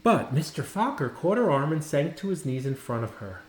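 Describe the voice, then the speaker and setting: A man narrating a children's storybook expressively, with dramatic, deliberate phrasing and pauses. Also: a little high, very animated, noisy background. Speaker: storybook reader. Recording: roomy, echoing indoor sound.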